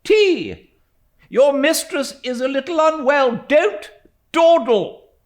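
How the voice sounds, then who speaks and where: A man's voice: high voice, huge pitch swings, takes it slowly, fairly bright tone, pitched above the performer's own voice, exaggerated voice. A man voicing a stern, domineering elderly aunt in a storybook reading, with a commanding, clipped and indignant manner. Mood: imperious.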